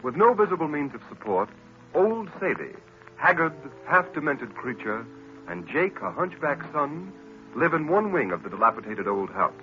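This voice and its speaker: A man announcing and narrating in a booming, emphatic 1940s radio style. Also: big pitch swings, moderate pace, highs cut off. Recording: old radio recording with music.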